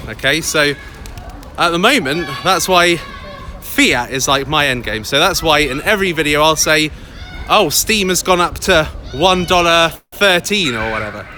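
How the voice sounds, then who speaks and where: An English man in a loud, chatty, upbeat manner, vlogging live from a busy city street into a handheld phone. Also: on the high side, expressive, normal speed. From England. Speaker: crypto vlogger. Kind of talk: casual live vlog. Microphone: phone held by hand, close. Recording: outdoor street noise. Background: city traffic and passers-by.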